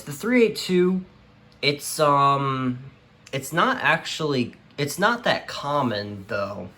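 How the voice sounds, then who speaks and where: A man's voice: mid-range voice, fairly bright tone. Hesitant man in an echoey room. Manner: hesitant, rambling, trailing off. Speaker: radio collector presenting their collection. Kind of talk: casual unscripted show-and-tell. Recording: roomy echo, light background noise.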